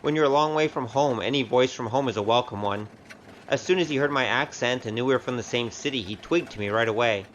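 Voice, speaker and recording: A man's voice: mid-range voice, quick pace, fairly bright tone, dull audio, a little hiss or hum, natural voice. A man playing a breezy, chatty wandering rogue with a wry streak in an audio drama.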